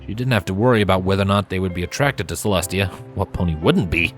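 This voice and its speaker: A man with a fairly low voice reads a scripted story aloud. He narrates expressively, with a dramatic storyteller's delivery, while faint music plays behind him. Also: fast talker. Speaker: fan fiction narrator.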